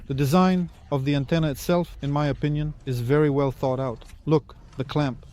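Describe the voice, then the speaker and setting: A man's voice: fairly high pitch, steady tone, moderate pace, a little mellow. A man giving a hands-on product review and demonstration, explaining plainly and step by step as the presenter. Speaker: presenter reviewing radio equipment.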